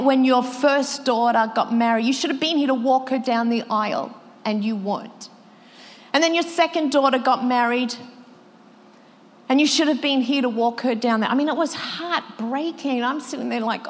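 A woman preaching a sermon, telling stories with emotion and building intensity as she quotes others. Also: a little high. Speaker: pastor. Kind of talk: sermon storytelling. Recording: long hall reverb.

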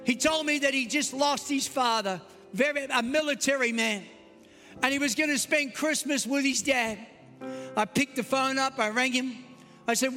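A man with an Australian accent preaching a Christmas Eve sermon, emphatic and swinging between loud and soft. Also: very high voice. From United States. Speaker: pastor. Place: large church hall. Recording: long hall reverb.

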